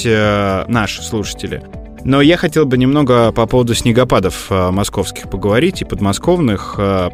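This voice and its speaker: A man co-hosting a podcast, telling things casually in a hesitant, digressive way full of fillers. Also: lowish voice. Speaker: podcast co-host. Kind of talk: casual conversational storytelling.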